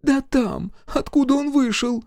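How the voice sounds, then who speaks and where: A man's voice: extremely high pitch, measured pace, gravelly, a little mellow, no room sound, clean studio sound, pitched above the performer's own voice, exaggerated voice. A man voicing a fussy, superstitious middle-aged village mother-in-law who scolds and gossips. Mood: conspiratorial. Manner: scolding, conspiratorial.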